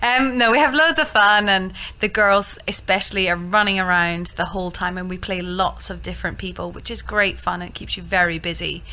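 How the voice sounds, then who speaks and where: A woman's voice: mid-range voice, fairly even intonation, brisk, few pauses, bright, forceful tone. A woman from Scotland, a musical theatre actress talking upbeat about her work. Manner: friendly, upbeat.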